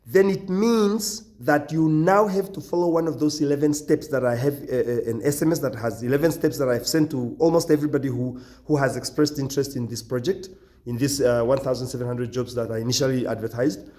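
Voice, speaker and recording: A man who founded a company, hoarse from nearly losing his voice, recording a halting, repetitive video announcement to job applicants with stern warnings. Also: mid-range voice, dark tone.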